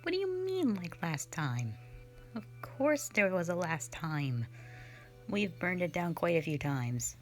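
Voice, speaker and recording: A woman's voice: fairly low pitch, very animated, a little slow, soft background music. A woman voicing a cold, sardonic female slayer who is not human, talking in a dismissive, mocking, blunt way in an audio roleplay drama. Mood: scornful.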